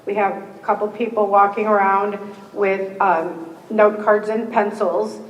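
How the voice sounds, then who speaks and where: A woman, moderating a candidate forum, reading the rules with friendly joking asides and a slightly hoarse voice. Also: medium pitch, little pitch movement, unhurried, highs cut off, a little hiss or hum. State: slightly hoarse, losing her voice. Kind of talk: reading scripted opening rules with casual asides. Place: meeting room with a live audience. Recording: echoey hall, distant mic.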